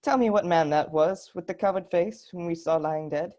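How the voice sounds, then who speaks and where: A woman's voice: on the low side, moderate pace, warm tone, close to an everyday voice. A young woman playing a repentant old miser in a dramatic, emphatic reader's theatre performance. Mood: solemn dread.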